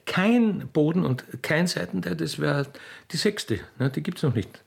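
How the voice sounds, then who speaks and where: A man voicing a grumpy, officious young country railway clerk girl with an Austrian accent, halting and cheeky, in a comic radio play. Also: a little high, expressive, creaky, voice pushed up, full character voice. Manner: halting, stammering, pedantic, cheeky.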